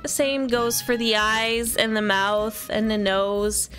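A woman's voice: on the high side, fairly even intonation, slow, fairly bright tone. A woman giving a casual walkthrough commentary of a video game. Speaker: gaming video presenter.

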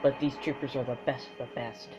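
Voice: medium pitch, steady tone, brisk. A gamer recording a casual let's play in front of a TV. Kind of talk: casual let's play commentary, reading intro text aloud. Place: room in front of a TV screen. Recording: dull muffled recording with loud game music behind. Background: loud game music from a TV.

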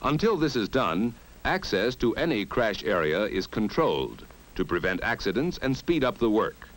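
An American man reading scripted narration for a mid-century instructional film in an authoritative, measured, emphatic way. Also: lowish voice, very animated.

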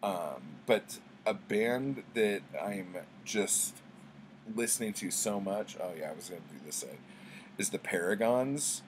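A man's voice: fairly low pitch, lively intonation, measured pace, a little hiss or hum, rough recording. A middle-aged man chatting casually to camera as a book vlogger, rambling in a laid-back, slangy, sweary way. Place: small book-lined room at home.

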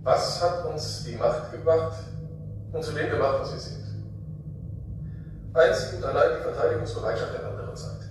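An actor playing a cynical military leader giving a formal, oratorical and deliberate speech in a radio drama. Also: male voice, on the high side, steady tone, lightly acted. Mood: cynical, imperious. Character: cynical military leader addressing his high command. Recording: echoey room, distant, noisy.

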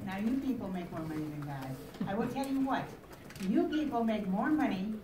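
An older American woman giving a forceful, rambling personal speech as an activist at a public meeting. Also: medium pitch, animated, normal speed. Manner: forceful, declarative, rambling storytelling. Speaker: veteran community activist speaker. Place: crowded library meeting room, at lectern. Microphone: camera in the audience, far off. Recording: echoey room, distant mic, background noise.

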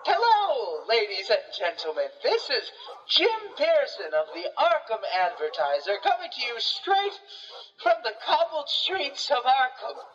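A woman's voice: sits high, huge pitch swings, unhurried, exaggerated voice. A woman playing a self-important male news reporter in a 1920s radio drama, with showy announcer delivery. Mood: showy, eager. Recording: muffled, noisy, distant field recording.

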